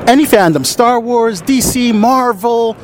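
An upbeat interviewer asks questions at a medium pitch, chiming in with eager affirmations. Faint traffic noise hums in the background of the outdoor recording. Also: a little slow. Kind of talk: casual convention interview.

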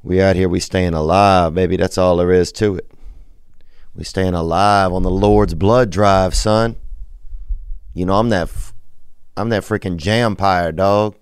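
A raspy-voiced man hosts a comedy podcast, his voice sitting very low. He rambles through crude, slangy riffs. The sound is dry and close, with no room around it. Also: fairly even intonation, measured pace, long pauses, no room sound. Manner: loose, crude, slangy riffing. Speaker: podcast host. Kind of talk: rambling comic podcast talk.

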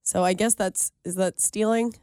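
A woman's voice: medium pitch, fairly even intonation, moderate pace, warm tone, clean tone, no echo, studio quality. A woman co-hosting a casual talk show, teasing her partner and laughing often. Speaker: co-host. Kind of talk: casual radio-style chat.

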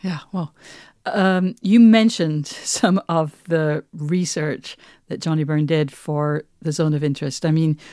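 Lowish-voiced woman co-hosting a podcast. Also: mellow, no room sound, clean studio sound. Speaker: podcast co-host. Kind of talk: conversational podcast talk.

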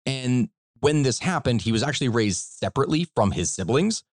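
A man's voice: mid-range voice, very animated, fairly quick, dry recording. American man with slight country twang, dry studio. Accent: American, slight Kentucky country twang. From Kentucky, United States. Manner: rambling, emphatic, informal. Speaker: history podcast host. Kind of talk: conversational history storytelling.

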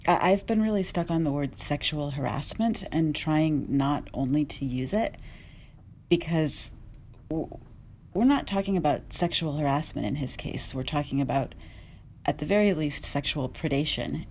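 A woman journalist speaking earnestly on a discussion panel, measured and with small restarts. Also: sits low, darkish tone, a little hiss or hum.

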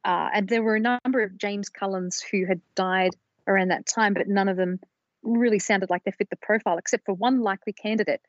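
A woman's voice: mid-range voice, steady tone, fairly quick, dry recording. Woman explaining research, dull lo-fi sound. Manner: careful, explanatory, with restarts. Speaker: podcast co-host presenting research. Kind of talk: casual research chat. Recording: dull lo-fi dry recording.

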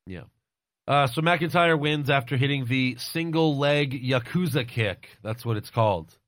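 Podcast co-host, male, American; blunt, argumentative, corrects his partner; mid-pitched, dry recording. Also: a little slow, fairly bright tone, no echo. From United States. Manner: argumentative, cursing, firm. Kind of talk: casual wrestling chat.

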